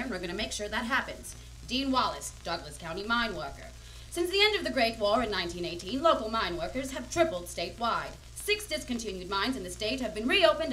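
Woman playing a lively-pitched 1920s lady reporter. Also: rough recording. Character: female 1920s radio reporter, proper but easily frightened. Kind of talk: old-time radio drama acting.

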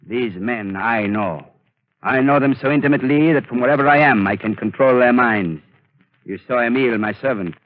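An actor in 1930s radio drama playing a scheming male villain who controls minds, speaking smoothly and theatrically. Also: medium pitch, normal speed, natural voice. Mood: menacing. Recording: tinny old radio broadcast recording.

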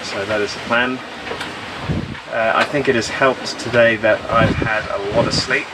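A man in his fifties giving a candid, relaxed update to a camera held at arm's length on a sailboat. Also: fairly low pitch, moderate pace. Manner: relaxed, candid, laid-back. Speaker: sailing vlogger. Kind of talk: casual chat on camera. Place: outdoors on a sailboat cockpit. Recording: loud wind noise. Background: loud wind.

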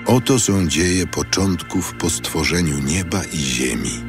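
A man narrating an audio Bible in a solemn, measured, scripted reading. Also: low-pitched, lively intonation. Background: loud music.

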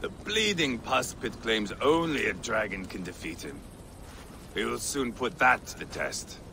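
An actor playing a brash, crude Viking chieftain in a game cutscene, mocking and hot-tempered. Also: male voice, fairly low pitch, expressive, unhurried, sharp tone, slight background noise, exaggerated voice. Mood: scornful. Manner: mocking, crude, growling insults. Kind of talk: video game cutscene acting.

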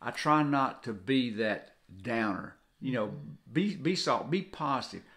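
A man's voice: medium pitch, expressive, unhurried, a bit bright. An older man with a Southern US accent giving an informal, earnest devotional talk, often repeating phrases for emphasis. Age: 60s or older. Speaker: co-host of a devotional video. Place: home kitchen, indoors.

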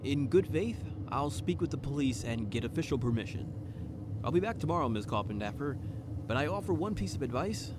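A man playing an earnest, literal-minded private detective in a noir audio drama, speaking in a deadpan, formal manner. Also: medium pitch, brisk, background noise, natural voice. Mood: measured.

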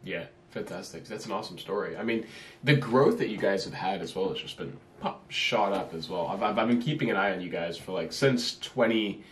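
A young man in his twenties from New Zealand hosting a podcast, with a relaxed, affirming manner and lots of yeahs. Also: deep voice, a little fast, slight background noise. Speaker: podcast host. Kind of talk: casual interview chat. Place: small office with a whiteboard. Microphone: over-ear headphones with a desk mic. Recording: echoey small room.

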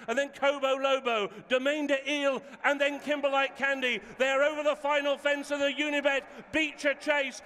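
A man's voice: very high-pitched, bright, forceful tone, long reverb tail. A man giving live horse racing commentary as a fluent running call in clipped phrases.

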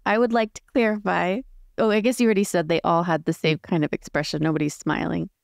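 A woman's voice: fairly low pitch, steady tone, quick pace, gravelly, no room sound, studio quality. A woman playing a tabletop roleplay game, casually narrating her character's choices and thinking aloud.

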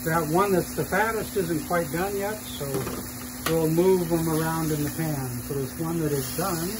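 Man, cooking host, slow and step-by-step, slightly high voice, clear mains hum behind. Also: steady tone. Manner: unhurried, step-by-step explaining while cooking. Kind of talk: casual cooking demonstration. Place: shop demo kitchen, indoors.

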